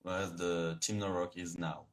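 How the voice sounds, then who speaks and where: A young man from France with a French accent, answering interview questions in English over a video call, halting and searching for words. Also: deep voice, level tone. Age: young adult. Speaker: guest.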